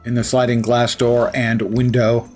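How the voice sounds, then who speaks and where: A man's voice: medium pitch, completely flat delivery, measured pace, a little mellow, soft background music. A man chatting casually and rambling while he livestreams a game. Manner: rambling, self-mocking.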